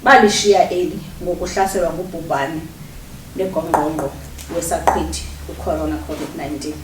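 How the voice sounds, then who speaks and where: A woman's voice: sits low, steady tone, a little fast, lightly acted. A South African woman performing as a solemn storyteller in dramatic stage narration. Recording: distant, echoey room, faint noise.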